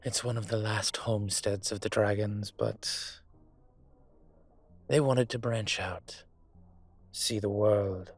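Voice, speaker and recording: A man playing a brooding, courteous and vengeful dragon mafia boss in an intimate audio roleplay, speaking in a measured, hesitant way with a husky voice. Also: fairly low pitch, fairly even intonation, soft background music. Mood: reflective. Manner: measured, hesitant, pausing often. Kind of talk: audio roleplay drama, intimate dinner conversation.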